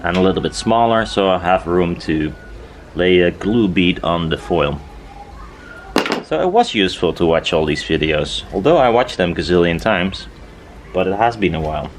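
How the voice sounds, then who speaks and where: A man's voice: low-pitched, expressive, moderate pace. A man chatting casually and thinking aloud as he works on a DIY build, hesitant and often trailing off mid-thought. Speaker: DIY maker filming a build video. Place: outdoors in a garden. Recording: noisy outdoor garden recording.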